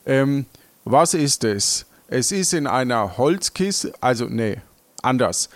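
Halting, slow-paced man improvising an adventure. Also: mid-range voice, big pitch swings, no room sound. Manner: halting, searching for words. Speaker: game master and player. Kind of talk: improvised audio adventure narration, casual.

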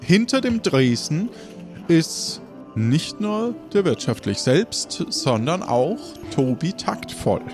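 A man narrating an improvised pirate adventure as game master, pausing as he invents the scenes. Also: on the high side, big pitch swings, music in the background.

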